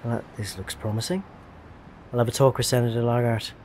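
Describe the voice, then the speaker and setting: A man's voice: medium pitch, steady tone, fairly quick, a little mellow, a little hiss or hum, natural voice. An actor playing a secretive male government agent in an audio drama, terse and dry in manner. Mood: dry, probing.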